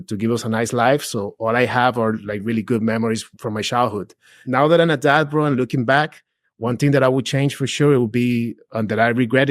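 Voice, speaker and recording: A man's voice: on the low side, no echo, clean studio sound. A man in his forties, raised in Peru, talking casually as a podcast guest, often saying "dude" and "bro". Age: 40s. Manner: relaxed and chatty, drops "dude" and "bro", many restarts. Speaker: guest, real estate investor. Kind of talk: casual interview talk.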